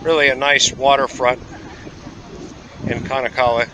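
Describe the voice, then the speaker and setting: A man vlogging a travel tour outdoors at a port, talking casually with lots of "uh" pauses. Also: mid-range voice, little pitch movement, noisy background. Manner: rambling, many "uh" pauses, easygoing. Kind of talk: casual travel vlog narration.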